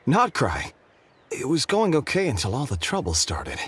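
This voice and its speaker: A man's voice: mid-range voice, huge pitch swings, normal speed, husky, full character voice. An actor playing a wry, gossiping male merchant in a video game. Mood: wry, gossipy.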